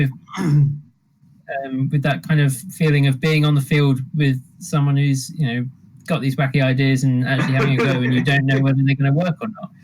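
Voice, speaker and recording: A man's voice: mid-range voice, flat delivery, brisk. Rambling male co-host with faint hiss. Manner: enthusiastic, rambling with fillers. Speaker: podcast co-host. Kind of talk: casual podcast chat.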